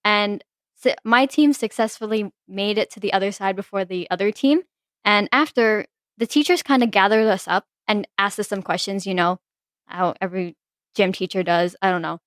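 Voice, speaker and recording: A woman's voice: medium pitch, moderate pace, fairly bright tone, no echo, studio quality. A teenage woman co-hosting a podcast, chatting casually with friends and telling a story full of "like" fillers. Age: teens. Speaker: podcast co-host. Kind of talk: casual chat among friends.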